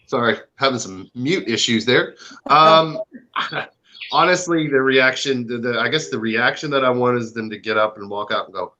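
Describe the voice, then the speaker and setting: A man giving a casual interview answer as a guest, halting as he searches for words on a video call. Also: mid-range voice, sharp tone, highs cut off, a little hiss or hum. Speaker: stage play cast member, interview guest.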